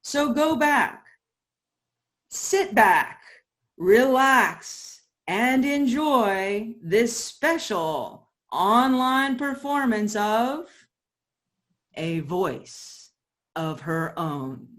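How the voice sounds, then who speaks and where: Very slow-paced woman announcer. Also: on the high side, big pitch swings, a bit bright. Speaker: host giving the welcome announcement. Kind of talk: scripted welcome to an online audience.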